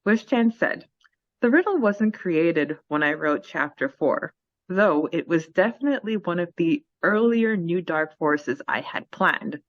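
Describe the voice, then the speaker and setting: An upbeat, giggly woman co-hosts a casual fan podcast and reads out listener questions in a slightly low voice. Her mic sounds a little dull and lo-fi. The room is dry and close. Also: animated, a little slow, no room sound. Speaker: podcast co-host.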